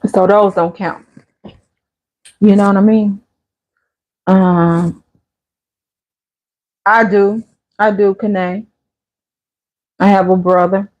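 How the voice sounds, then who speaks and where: Fifty-something lady just chatting on her live, relaxed, answering comments, kinda muffled audio. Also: medium pitch, drawn-out words, pauses often. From Chicago area. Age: 50s. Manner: laid-back, chatty, answers viewers' comments. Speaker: channel host. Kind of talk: casual live-stream chat.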